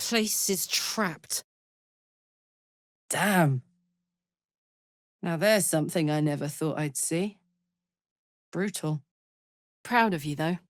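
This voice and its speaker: A woman voicing a brash, warm-hearted fantasy warrior in a video game, blunt, informal and expressive. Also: medium pitch, dry recording, clean studio sound. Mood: gruff, wry, then warm, tender. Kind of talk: video game character voice acting.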